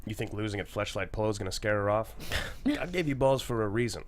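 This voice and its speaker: A man's voice: fairly low pitch, expressive, fast, close to an everyday voice. Bullying male tycoon , played by an actor, brash, crude, swooping delivery, faint noise. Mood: goading. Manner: brash, sneering. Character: crude, boastful, bullying rich male mogul. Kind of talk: comedy script table read.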